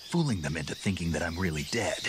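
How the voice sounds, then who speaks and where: An actor playing a gruff, stoic American special-forces soldier in a video game, with a gravelly, terse delivery. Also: male voice, sing-song, fast, very noisy. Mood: businesslike. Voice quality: low gravelly growl. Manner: terse, dry. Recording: game audio with loud music behind.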